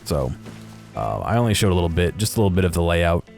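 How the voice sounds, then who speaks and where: Low-pitched man giving casual game commentary. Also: sing-song, quick pace, creaky, darkish tone, soft background music. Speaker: gaming video commentator.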